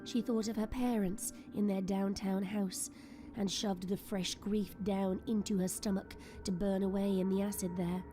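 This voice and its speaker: A woman's voice: medium pitch, steady tone, moderate pace. A woman reading scripted, dramatic story narration as the narrator of an audio drama. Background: soft music.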